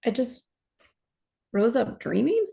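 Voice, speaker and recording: A woman acting a dazed, fragile young woman in a staged play reading, halting and repeating herself nervously. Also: fairly high pitch, sing-song, creaky, warm tone, exaggerated voice. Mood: puzzled, insistent. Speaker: actor.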